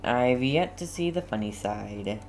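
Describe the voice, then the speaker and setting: Very low-pitched, unhurried woman streaming. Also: animated. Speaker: game streamer. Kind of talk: casual live game commentary.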